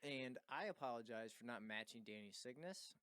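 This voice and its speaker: A man's voice: mid-range voice, brisk, fairly bright tone, clear, resonant tone, no room sound. A man guesting on a casual movie podcast in a home studio, easygoing and joking, with frequent laughs. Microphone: studio microphone.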